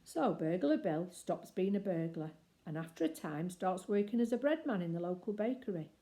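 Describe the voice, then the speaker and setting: An older woman reading a picture book aloud as expressive children's story narration. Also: lowish voice, moderate pace.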